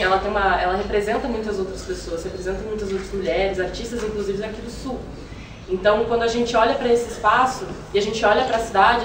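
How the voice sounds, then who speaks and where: Earnest woman, echoey and noisy recording. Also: medium pitch. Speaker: actress and singer being interviewed. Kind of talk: thoughtful conversational interview answers. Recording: roomy, distant mic, clear noise.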